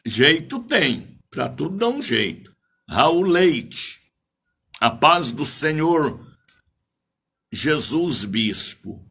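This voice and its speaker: An older man leads a live radio church service as a preacher. His voice is sharp and forceful, set a little high, and his delivery is slow and declamatory. He repeats phrases and stretches out names as he greets and exhorts listeners. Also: big pitch swings, slightly rough. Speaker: evangelical bishop and preacher.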